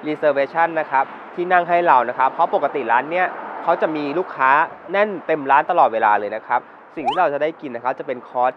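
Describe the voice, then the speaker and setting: A man's voice: on the high side, a little hiss or hum. Polite man presenting, slightly dull sound. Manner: polite, ends phrases with formal particles. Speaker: food show host. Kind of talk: casual food chat and presenting to camera.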